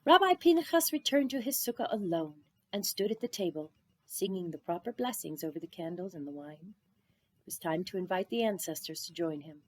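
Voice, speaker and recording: A woman reading a children's story aloud in an expressive narrating style. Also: fairly low pitch, a little fast, poor audio. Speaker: storybook reader.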